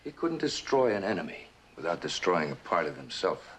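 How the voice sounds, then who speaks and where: A very low-voiced, smooth schemer from a vintage suspense drama. He speaks in a measured, condescending way, with wide swings of intonation and a controlling, calculating temper. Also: big pitch swings, noisy background. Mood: coolly calculating. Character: smooth, coldly calculating male usurper manager, controlling. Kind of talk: old radio and television suspense drama acting.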